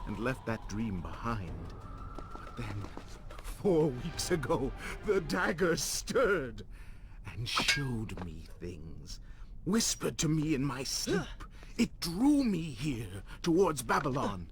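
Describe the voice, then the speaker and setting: An actor playing a scheming, power-hungry older vizier in a video game, speaking in a theatrical, menacing and deliberate way. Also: male voice, mid-range voice, huge pitch swings, slight background noise, full character voice. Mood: sinister, ambitious. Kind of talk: dramatic villain monologue.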